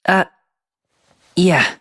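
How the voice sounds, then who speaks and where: A woman's voice: drawn-out words, a bit bright, no room sound, studio quality. A woman voicing an earnest, protective, boyish teenage big brother with some hesitancy in a video game. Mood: hesitant. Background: faint music.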